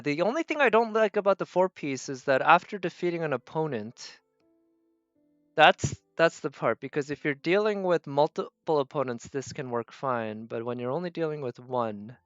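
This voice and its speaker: A man's voice: mid-range voice, clean tone, no room sound, lo-fi sound. A man doing casual live game commentary, thinking aloud and trailing off as he goes. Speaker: game streamer.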